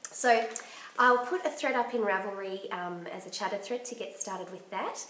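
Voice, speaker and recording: A woman hosting a knitting podcast in casual chat, her voice croaky from bronchitis, chatty and enthusiastic. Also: mid-range voice, moderate pace, fairly bright tone, echoey room, low-quality recording. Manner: chatty and enthusiastic, laughs often.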